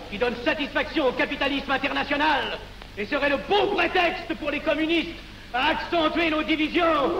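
An actor playing an indignant nationalist deputy, declaiming emphatically in a 1940s film. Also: male voice, very high voice. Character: fiery indignant young nationalist parliamentary deputy. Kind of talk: 1940s film acting, political oratory. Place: large parliament chamber set, crowd behind. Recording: dull noisy 1940s film, echoing chamber, crowd.

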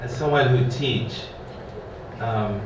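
A man who teaches, answering on a writers' panel in a wry, relaxed way. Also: medium pitch, takes it slowly, background noise. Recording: echoey hall from far off.

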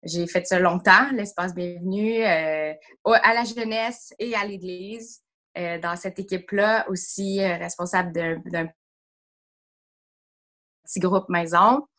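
A woman's voice: on the low side, a little slow, a bit bright. A woman in her thirties from Sherbrooke with a Quebec French accent, chatting in a friendly way on a video call from home. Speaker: drama teacher. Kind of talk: casual video-call interview. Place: home room with bookshelf. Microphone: laptop camera on a video call.

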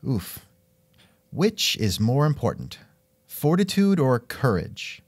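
A man's voice: mid-range voice, big pitch swings, takes it slowly, darkish tone, dry recording. A man hosting an interview in a casual, musing way, with wry asides and thoughtful hmms. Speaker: podcast host and interviewer. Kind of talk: casual scripted interview chat.

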